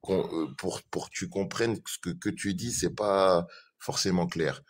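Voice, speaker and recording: A man's voice: low voice, moderate pace. A man in a live online debate, defending his view hesitantly, repeating himself and reading verses aloud.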